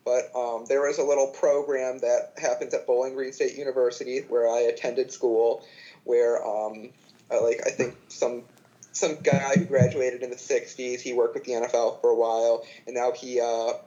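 A man's voice: medium pitch, level tone, moderate pace, warm tone. Young man chatting through muffled audio. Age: 20s. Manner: chatty, lots of fillers and false starts. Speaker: podcast guest. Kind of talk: casual chat.

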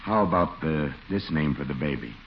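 A muffled, faintly hissy radio recording carries a small-town police chief. His voice is deep, with lively rises and falls, and he is hesitant. He belongs to a 1940s radio comedy. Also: moderate pace. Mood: hesitant, hopeful.

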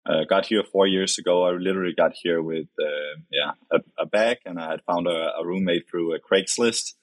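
A man in his late twenties with a Danish accent, chatting casually and haltingly as a modest interview guest. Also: sits low, no room sound. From Denmark, grew up in Copenhagen. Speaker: podcast guest, company vice president.

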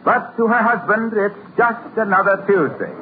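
A man's voice: high voice, lively intonation, normal speed. An American man working as a radio announcer, reading scripted copy in a polished 1950s broadcast style. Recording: tinny old radio, faint noise.

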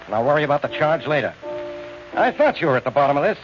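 A muffled vintage radio drama recording carries a gruff police inspector. The man barks impatiently and suspiciously, pitched a little high, in 1940s style. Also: big pitch swings, quick pace, over music. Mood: bossy, threatening. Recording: dull old radio recording.

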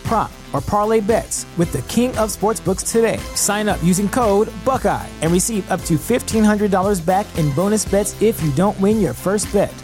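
A woman's voice: mid-range voice, normal speed, hardly any pauses, darkish tone. A woman reading scripted advertisement narration as a voice-over. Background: music.

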